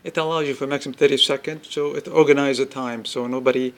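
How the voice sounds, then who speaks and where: A man presenting an informal product demonstration, explaining step by step in halting phrases and repeating himself. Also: medium pitch, steady tone. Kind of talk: informal spoken product demo.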